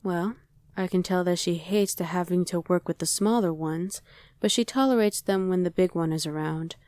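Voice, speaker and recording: A woman's voice: on the low side, moderate pace, dark tone, no echo, lightly acted. A woman playing a casual young female survivor of a demon invasion in an ASMR roleplay. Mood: casual, explaining. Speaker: voice actor.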